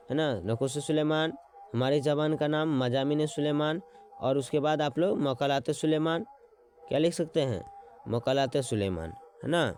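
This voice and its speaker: A man teaching an exam-prep lesson, repeating words slowly as he writes them out and checking in with his students as he goes. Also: mid-range voice, darkish tone. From Bihar.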